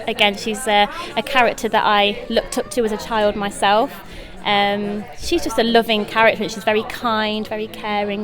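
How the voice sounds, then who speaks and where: Enthusiastic British woman chatting over crowd noise. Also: medium pitch. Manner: enthusiastic, effusive. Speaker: pantomime actress being interviewed. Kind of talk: interview chat. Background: busy press event crowd.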